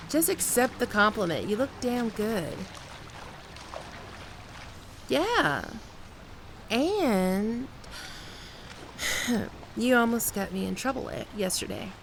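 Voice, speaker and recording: A woman's voice: medium pitch, wildly swooping pitch, vocal fry, background noise, exaggerated voice. A woman playing a flirtatious, playful holidaymaker in an ASMR roleplay, talking in a coy, teasing, conversational way. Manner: coy, teasing, giggly, unhurried with pauses.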